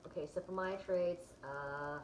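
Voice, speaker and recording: A woman's voice: on the low side, steady tone, a little slow, echoey room, noisy background. A woman vlogger chatting casually as she clicks through her results, with plenty of ums and restarts. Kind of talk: casual chat, walking through results on screen.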